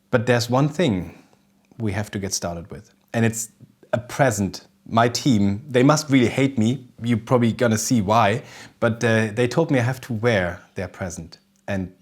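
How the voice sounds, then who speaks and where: A man's voice: lowish voice, unhurried. A man in his thirties from Germany, speaking English with a German accent as a second language, presenting a casual photography tutorial to camera in a studio kitchen, eager and often restarting as he searches for words. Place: indoor studio kitchen set with festive decorations.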